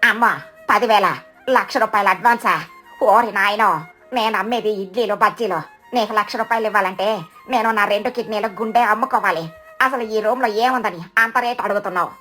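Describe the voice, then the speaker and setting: A woman's voice: medium pitch, brisk, pushed and bright, soft background music, close to an everyday voice. A woman voicing a feisty, boastful mother crow who sells food in a cartoon story, dramatic and brash. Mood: indignant.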